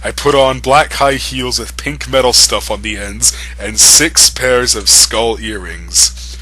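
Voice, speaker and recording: A man's voice: mid-range voice, takes it slowly, sharp tone, poor audio. A man reading fan fiction aloud for comedy, breaking in with mocking, amused asides. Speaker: reader.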